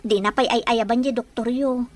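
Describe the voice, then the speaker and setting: Woman doing a young maid, high voice, meek and polite, ilocano filipino accent, radio drama, slightly noisy audio. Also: fairly even intonation, moderate pace, lightly acted. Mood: deferential. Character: young housemaid daughter, deferential yet observant.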